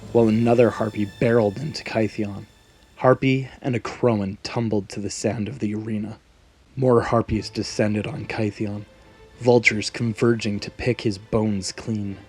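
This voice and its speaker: Guy with a lowish voice reading a fantasy tale dramatically, soft music behind. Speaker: narrator. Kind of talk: scripted fantasy story narration. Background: background music.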